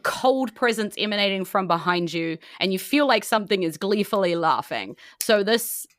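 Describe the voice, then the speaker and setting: A woman with a New Zealand accent improvising tabletop game narration as game master, in an animated, informal way. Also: medium pitch, normal speed, dry recording, clean studio sound.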